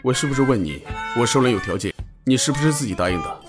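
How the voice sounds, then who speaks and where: A man's voice: mid-range voice, expressive, quick pace, close to an everyday voice. A man playing a cool, insistent young suitor in an audio drama, very self-assured. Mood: confident. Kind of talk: Chinese audio drama acting. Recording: loud music behind the voice.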